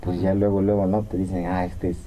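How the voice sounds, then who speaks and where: A man's voice: low voice, flat delivery, brisk, dark tone, slight background noise. A man, a radio guest, speaking plainly and hesitantly, often restarting his phrases. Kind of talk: radio interview answer.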